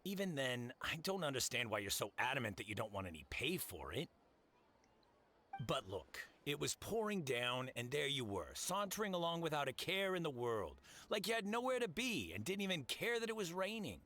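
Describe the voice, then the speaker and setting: Blunt, animated middle-aged merchant. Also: male voice, a little high, normal speed. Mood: blunt, kindly. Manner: blunt, fatherly, expressive. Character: gruff but kindly male merchant boss. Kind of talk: video game voice acting.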